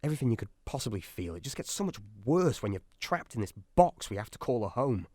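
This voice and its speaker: An actor playing an anxious, self-doubting, sardonic submarine doctor in a radio drama. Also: male voice, medium pitch, sing-song, fast, warm tone, dry recording, clean studio sound. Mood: brooding, weary. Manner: nervy, sardonic, brooding. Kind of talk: radio drama acting with diary-style monologue.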